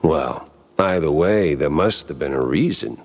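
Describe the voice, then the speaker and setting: A man's voice: rumbling low, lively intonation, measured pace, a little mellow, heavily acted. A man voicing a wry, world-weary mouse private detective in a noir video game, with a hard-boiled delivery. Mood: sardonic, musing. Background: music.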